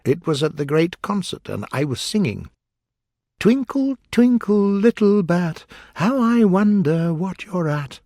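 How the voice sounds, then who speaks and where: Eccentric, condescending hatter for a storybook, read by a man. Raised pitch, big swings, emphatic and a bit mournful. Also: very animated, moderate pace, vocal fry, few pauses, husky, no echo, studio quality, full character voice.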